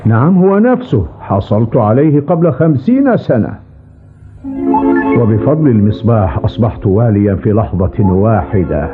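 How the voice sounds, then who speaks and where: An expressive old ferryman, grumbling and rueful, whose voice swings widely as he talks. It is a cartoon dub with a muffled, tinny sound and music behind. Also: lowish voice, normal speed. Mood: reminiscing. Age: older. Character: old male ferryman, fallen ruler, testy, rueful storyteller. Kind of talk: dubbed cartoon voice acting.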